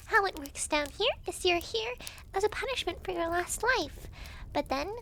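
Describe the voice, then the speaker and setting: A young devil girl who works as hell's clerk, acted by a woman in an ASMR roleplay. Her voice is extremely high and lively in pitch, with a breezy, teasing, giggly manner. There is a faint noise behind her. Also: heavily acted. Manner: giggly, breezy, teasing, halting when flustered. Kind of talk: ASMR roleplay, talking to the listener.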